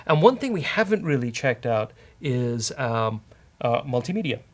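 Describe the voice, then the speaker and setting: A man with an Irish accent hosting a casual live-coding demo, dry, teasing and halting. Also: mid-range voice, very animated. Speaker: host, software developer.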